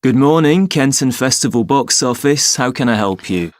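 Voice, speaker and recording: A man plays a helpful festival clerk on a scripted phone enquiry. His voice sits at a middling pitch and explains things clearly and courteously. The sound is very dry, without room echo. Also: expressive, normal speed, lightly acted. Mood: helpful, polite. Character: helpful, polite festival box office clerk. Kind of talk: scripted listening-test phone dialogue.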